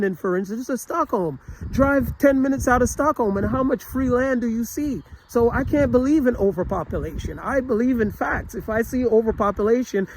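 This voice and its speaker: A man's voice: high voice, slight background noise, poor audio. A man giving an opinionated, casual vlog monologue, earnest and rambling, often restarting his sentences with fillers. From United States. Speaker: travel vlogger.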